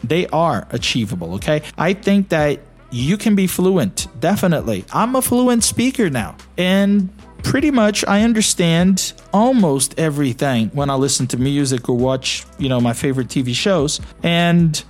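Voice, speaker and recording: A man with a Brazilian accent talks his listeners through learning English, coaxing them along and tossing out questions as he goes. His voice sits a touch higher than most men's, and soft music hums faintly beneath him. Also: very animated, normal speed. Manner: encouraging, asks listeners questions, frequent "you know" and "okay?". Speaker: English teacher and podcast host. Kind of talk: conversational teaching podcast.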